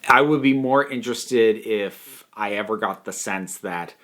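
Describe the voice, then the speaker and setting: A man giving a casual spoken review, thinking aloud in a halting, thoughtful way with emphatic stresses on key words. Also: fairly low pitch, a bit bright. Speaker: online reviewer.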